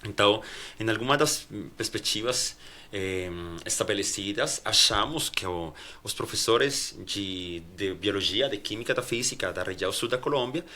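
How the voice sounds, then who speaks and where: Colombian man, Spanish first language, bright voice, academic guest answers with frequent restarts. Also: on the low side. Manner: halting, many restarts and fillers. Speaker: guest professor.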